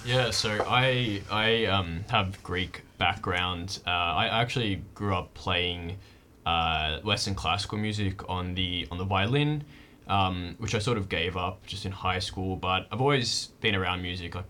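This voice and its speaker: A young man, a violinist, answering interview questions in a relaxed, hesitant way with many fillers. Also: low-pitched, moderate pace, a little hiss or hum.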